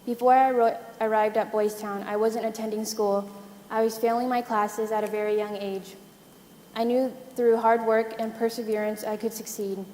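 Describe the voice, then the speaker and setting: A woman's voice: on the high side, flat delivery, moderate pace, a little mellow, slight background noise. Earnest teen girl reading a prepared speech. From United States. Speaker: student mayor, panelist. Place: conference hall. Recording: echoey conference hall.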